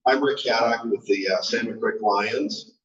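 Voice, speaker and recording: Loud-voiced man in an echoey room. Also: fairly low pitch, measured pace. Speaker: club representative guest speaker. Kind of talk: presentation intro. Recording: echoey, distant, muffled room audio.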